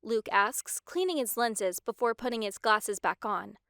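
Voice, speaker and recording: A woman's voice: on the high side, expressive, measured pace, fairly bright tone, clean tone, no echo, clean studio sound. A woman reading scripted story narration as the narrator. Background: faint music.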